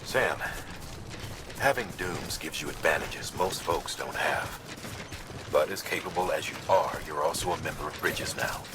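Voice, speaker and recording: An actor playing an authoritative, supportive commander in a video game, speaking in a measured, encouraging way. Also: male voice, rumbling low, background noise. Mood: firm, encouraging. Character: authoritative male commander, steady and encouraging to his agent.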